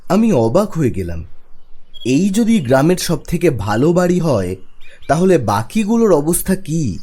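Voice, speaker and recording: A man playing a young office worker, narrating his own eerie story in an expressive storytelling manner. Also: a little high, a little slow. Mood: uneasy storytelling. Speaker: narrator and lead character. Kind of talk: dramatic first-person horror story narration. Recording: music behind the voice.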